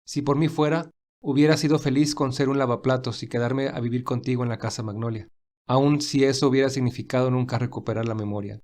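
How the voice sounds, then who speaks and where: Man playing gentle, caring romantic gentleman. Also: medium pitch, steady tone, brisk, natural voice. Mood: wistful, sincere. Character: Albert, gentle, caring, private gentleman in love. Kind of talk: dramatized romantic fan fiction.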